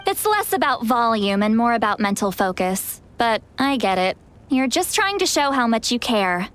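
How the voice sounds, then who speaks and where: A woman's voice: a little high, big pitch swings, normal speed, fairly bright tone, clean tone. A woman voicing a cheerful, impulsive young girl in a video game, with a bubbly, eager, casual manner. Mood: determined. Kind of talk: video game story voice acting.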